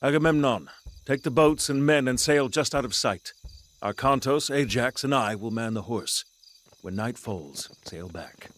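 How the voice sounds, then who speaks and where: A man's voice: mid-range voice, measured pace, a bit bright, a little hiss or hum, lightly acted. A man voicing a shrewd, composed ancient war leader in a video game. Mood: directing. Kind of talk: dramatic game cutscene acting.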